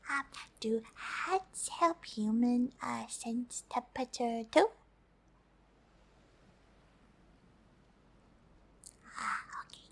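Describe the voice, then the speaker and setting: A woman's voice: fairly high pitch, slow, creaky, heavily acted. A woman voicing a friendly, polite alien who is curious about humans, speaking hesitantly in an ASMR roleplay. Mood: curious, gentle. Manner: hesitant, speaks of self in third person.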